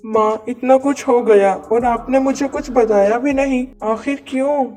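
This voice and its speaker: A woman's voice: a little high, a little slow, warm tone, natural voice. A woman voicing a loving, dutiful young man in a cartoon moral story, speaking earnestly. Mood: shocked concern. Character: young adult son, loving, dutiful, dismayed by poverty.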